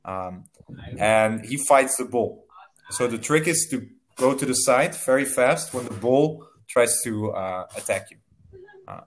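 A man's voice: mid-range voice, animated, slight background noise. Young man tutoring on a headset. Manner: friendly, laughs often, slow careful phrasing. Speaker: online English tutor. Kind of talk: casual video-call lesson. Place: small room indoors.

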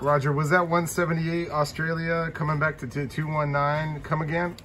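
A man's voice: on the high side, flat delivery, normal speed. A man at a home radio station calling out call signs in a clipped radio cadence. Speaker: CB radio operator. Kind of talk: CB radio calls. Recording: loud static noise, radio audio.

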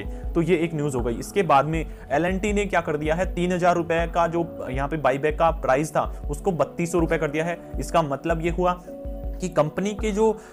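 An Indian man with a slightly high voice presents financial news as an informal explainer. He speaks straight to the listener and often repeats himself to make a point. Music plays behind his commentary. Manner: explanatory, repetitive, addressing viewers directly. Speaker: host of a stock market news channel.

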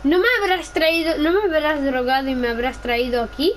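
A young, playful, excitable voice chatting casually during gameplay roleplay, often repeating phrases. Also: sits high, brisk, slight background noise. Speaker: gamer in a roleplay video.

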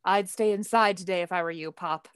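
A woman's voice: on the low side, steady tone, fairly quick, clear, resonant tone, no echo, studio quality, close to an everyday voice. A woman playing a playful, sarcastic grown son in a radio play. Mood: wry, playful. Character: Herbert, teasing grown son in a family.

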